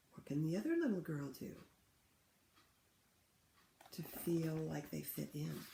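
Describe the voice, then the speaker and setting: A woman reading a picture book aloud to children in an expressive, teacherly way, pausing to ask them questions. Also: lowish voice. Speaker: read-aloud host for children. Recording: roomy, echoing room sound.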